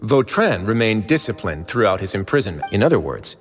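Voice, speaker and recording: Game warden: worldly, measured, reassuring, lower register, long reverberant tail. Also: male voice, big pitch swings. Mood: composed, matter-of-fact. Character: composed, worldly-wise male prison warden, frank and reassuring. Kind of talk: video game voice acting. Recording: long reverb, music behind.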